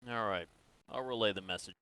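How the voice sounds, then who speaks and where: A calm, businesslike interrogator from a sci-fi roleplay game acted by a man. The voice sits fairly low with a bright, forceful edge. He questions in a measured, conversational way, like an officer working through a case. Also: no echo. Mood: firm, reassuring. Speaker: player in an online roleplay. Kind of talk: improvised in-character game roleplay.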